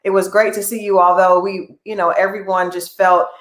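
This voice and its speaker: A woman's voice: medium pitch, level tone, moderate pace, fairly bright tone. Affirming woman host in an echoey room. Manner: affirming, with frequent murmured agreement and long rambling questions. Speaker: interview host. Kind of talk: interview.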